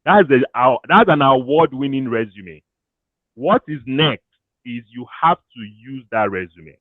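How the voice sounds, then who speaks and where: A Nigerian man giving career advice to an audience in a casual, animated way, slipping into pidgin and laughing often. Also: mid-range voice, a little slow, dry recording. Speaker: career coach. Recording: thin phone-like dry audio.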